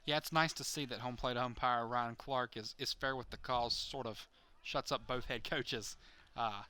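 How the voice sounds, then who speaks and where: A man's voice: mid-range voice, steady tone, normal speed, sharp tone, clear, resonant tone. A man giving color commentary on a radio baseball broadcast.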